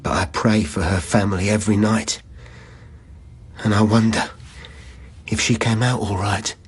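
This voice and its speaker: Guilt-ridden man, raspy lowish voice, terse rhyming lines, radio play monologue. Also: steady tone, normal speed. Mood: guilty, anxious. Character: guilt-ridden former lab worker, prayerful and troubled. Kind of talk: poetic radio drama monologue.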